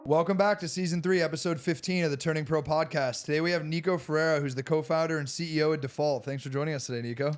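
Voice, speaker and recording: Quick, upbeat podcast host. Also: male voice, a little high, fairly even intonation. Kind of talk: podcast intro and banter.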